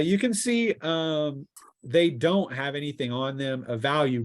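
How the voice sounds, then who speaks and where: A man running a tabletop fantasy game as game master, improvising narration and often pausing mid-sentence. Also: mid-range voice, dry recording, clean studio sound.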